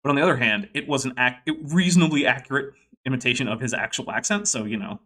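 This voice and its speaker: A man from the Boston area hosts a casual podcast. His voice is bright and clear, and his talk runs in quick, explanatory bursts. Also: mid-range voice, lively intonation. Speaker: podcast host. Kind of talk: casual commentary.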